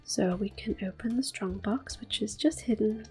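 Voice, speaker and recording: A woman giving soft-spoken, often whispered ASMR commentary on a video game, in a gentle, hushed manner. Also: mid-range voice, normal speed, faint music behind. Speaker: ASMR gaming host.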